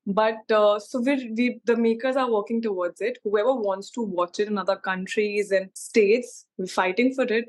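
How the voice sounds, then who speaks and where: A woman's voice: mid-range voice, steady tone, normal speed, few pauses. A young woman with an Indian accent, an actress speaking casually and emphatically in an interview, often using "like" and "you know". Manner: informal, emphatic, peppered with 'like' and 'you know'.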